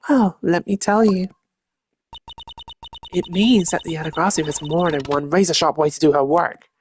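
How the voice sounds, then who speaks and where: A woman's voice: fairly low pitch, normal speed, darkish tone, slight background noise, close to an everyday voice. A woman voicing a gloating female master thief and killer in a video game, theatrical and mocking. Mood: smug taunting.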